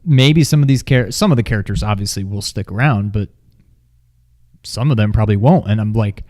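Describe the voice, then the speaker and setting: A man co-hosting a movie review in a casual chat, with informal, halting phrasing. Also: lowish voice, darkish tone. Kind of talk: casual chat, film discussion.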